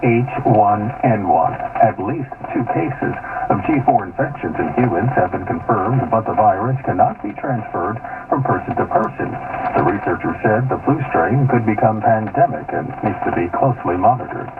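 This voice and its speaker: Male news anchor, lowish pitch, scripted read through narrow, noisy AM radio. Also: animated, normal speed, distant mic. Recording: tinny, noisy AM radio aircheck.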